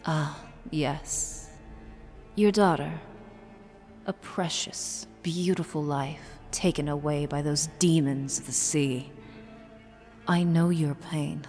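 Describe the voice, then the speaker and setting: A menacing female leader of a secret order, played by a woman, speaks low and very slowly. Her delivery is imperious and solemn, audio-drama style, with long hall reverb around it. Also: fairly even intonation, a little mellow. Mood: solemn, grave. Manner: measured, imperious. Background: eerie horror music.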